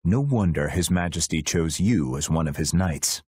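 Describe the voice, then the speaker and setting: A man voicing a stoic, loyal royal guardian in a video game, husky, solemn, terse and formal. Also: very deep voice, expressive, moderate pace, darkish tone, dry recording, clean studio sound, exaggerated voice. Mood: sincere, respectful. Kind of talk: video game battle voice lines.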